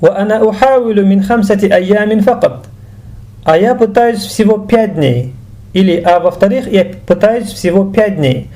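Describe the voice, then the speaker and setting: High-pitched, mellow-voiced male language teacher. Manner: didactic, deliberate enunciation of examples. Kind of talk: explanatory video lesson.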